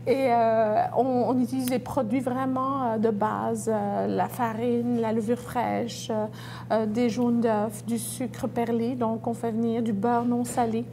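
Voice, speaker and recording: A woman's voice: fairly high pitch, level tone, unhurried, mellow, a little hiss or hum. A middle-aged woman from Belgium giving conversational answers as an entrepreneur in an interview. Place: restaurant.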